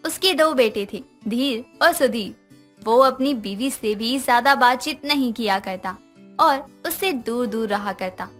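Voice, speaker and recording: A woman's voice: fairly high pitch, animated, measured pace, a bit bright, soft background music. A woman reading scripted narration of a family moral story.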